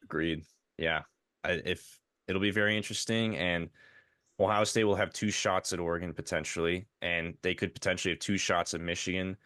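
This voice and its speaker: A man's voice: low-pitched, fairly even intonation, a little fast, pushed and bright, dry recording, clean studio sound. A man co-hosting a casual sports podcast, talking with frequent restarts, his voice tired. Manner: stammering restarts, conversational. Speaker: podcast co-host.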